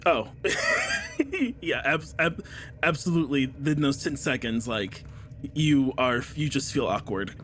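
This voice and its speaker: A man's voice: medium pitch, unhurried, a bit bright, slight background noise. A man running a tabletop game, narrating a scene in an easygoing way with plenty of fillers. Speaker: game master. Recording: clear background music.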